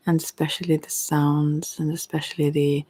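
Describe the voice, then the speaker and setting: A woman who is a non-native English speaker, chatting in soft-spoken ASMR style, hesitant and meandering, often slipping into whispers close to the mic. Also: deep voice, level tone, normal speed. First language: not English. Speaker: ASMR creator and tarot reader.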